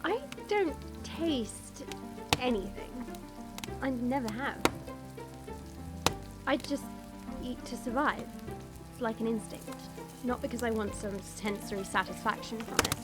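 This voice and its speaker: A woman playing a haughty, quick-tempered young dragon princess turned human, imperious and formal, in an audio drama. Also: a little high, over loud music, close to an everyday voice. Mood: curious, matter-of-fact. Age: 20s.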